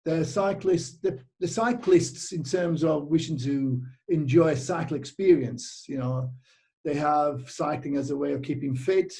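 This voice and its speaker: A man's voice: on the high side, measured pace, mellow. A middle-aged man with a British accent, a cycling tour operator chatting casually in an interview, rambling with lots of fillers and restarts.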